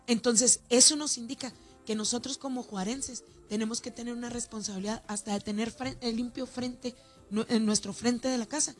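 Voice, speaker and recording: A woman's voice: fairly high pitch, moderate pace, soft background music. A woman from Ciudad Juárez with a northern Mexican accent, a festival organizer talking animatedly in a radio interview. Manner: animated, chatty, with many restarts. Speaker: festival organizer and councilwoman, guest.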